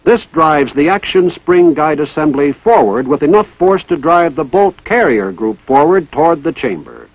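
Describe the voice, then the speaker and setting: Training film narrator: male, higher-pitched, formal and methodical, lively intonation, dull old soundtrack. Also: normal speed, few pauses, dry recording. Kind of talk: scripted instructional narration. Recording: dull, band-limited vintage military film soundtrack.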